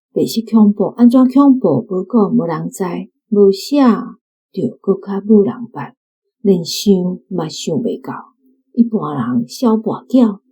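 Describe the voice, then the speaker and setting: A woman's voice: mid-range voice, dark tone, slightly rough, low-quality recording. A woman from Taiwan with a Taiwanese accent, reading a scripted spoken essay for radio as a book club member.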